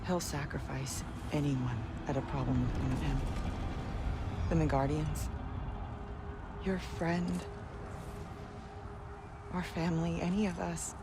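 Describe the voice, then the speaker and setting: A middle-aged actress playing a proud, grieving Norse goddess and mother in a video game, intense and measured, with music behind her. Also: low-pitched, fairly even intonation, background noise. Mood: solemn, resolute. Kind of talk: dramatic video game acting.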